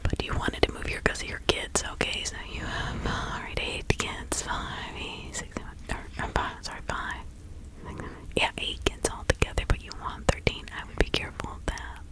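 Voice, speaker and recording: A woman voicing a kindly, chatty older village witch in an ASMR roleplay, speaking in a breathy, halting, confiding whisper. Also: natural voice, poor audio. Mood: confiding, advising. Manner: slow, halting, soothing, confiding.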